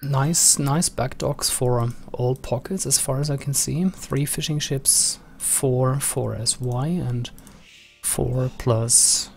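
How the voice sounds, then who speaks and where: A man with a voice going hoarse, giving understated live commentary on a video game match. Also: medium pitch, fairly even intonation, takes it slowly, a little hiss or hum. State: losing voice, slightly hoarse. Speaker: esports match caster.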